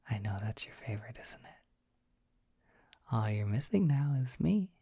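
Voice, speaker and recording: A performer plays a dorky, gentle dragon boy in an ASMR roleplay. His voice is a very husky, breathy whisper, heavily creaky at the edges. He talks slowly and shyly, halting softly as he soothes the listener. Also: medium pitch, very animated, no echo, exaggerated voice. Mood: fond, cozy. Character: gentle, shy, dorky young dragon boy, tenderly comforting.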